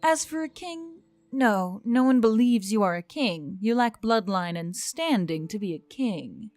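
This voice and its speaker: A woman voicing a gentle, earnest otherworldly woman in audiobook dialogue, reassuring and measured in formal phrasing. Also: medium pitch, lively intonation, dry recording, soft background music, close to an everyday voice. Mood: earnest, teasing.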